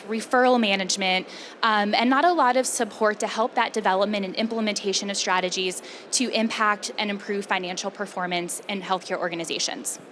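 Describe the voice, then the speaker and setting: A woman, a company vice president, giving a conference pitch in a chatty, conversational way. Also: a bit bright, slight background noise.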